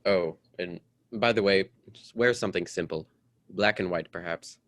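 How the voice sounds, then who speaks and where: A man playing a stuffy, domineering politician husband in a radio melodrama, with a brisk, formal, patronizing manner. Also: deep voice, a bit bright, no echo. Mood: satisfied, controlling. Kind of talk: old-time radio drama acting.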